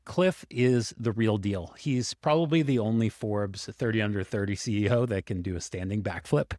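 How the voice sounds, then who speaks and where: A man's voice: fairly low pitch, normal speed, a little mellow, no room sound, clean studio sound. A man co-hosting a podcast, enthusiastic and rambling, with many likes and ums. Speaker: podcast co-host. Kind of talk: casual podcast chat.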